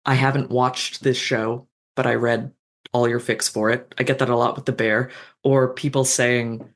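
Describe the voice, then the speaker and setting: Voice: medium pitch, little pitch movement, normal speed. A podcast guest, a fan-fiction author, talking casually and thoughtfully, with many restarts and "um"s. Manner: thoughtful, rambling with restarts and fillers.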